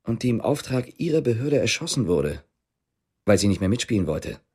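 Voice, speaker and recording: An actor in a German radio drama playing a determined, guarded East German investigator with measured, deliberate delivery. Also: male voice, fairly low pitch, big pitch swings, warm tone, slightly rough. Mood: firm, accusing.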